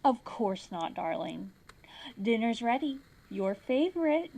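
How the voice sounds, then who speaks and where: A woman as a high, sweetly scheming wife. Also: very animated, slow pace, warm tone, heavily acted. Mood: feigned innocence. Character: sweetly scheming wife, falsely devoted, quietly vengeful. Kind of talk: comedic audio drama acting.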